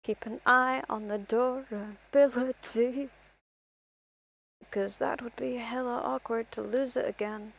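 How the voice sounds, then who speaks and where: A woman's voice: on the high side, steady tone, a little slow, creaky. A young woman from Ireland rambling through casual gameplay commentary as a streamer, self-deprecating and talking to herself.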